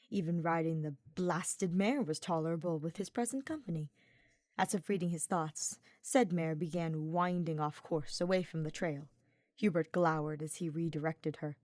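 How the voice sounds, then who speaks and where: A woman reading a scripted story aloud as an audiobook-style narrator, expressive and deliberate. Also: lowish voice, no room sound, studio quality. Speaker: audiobook-style reader of fan fiction.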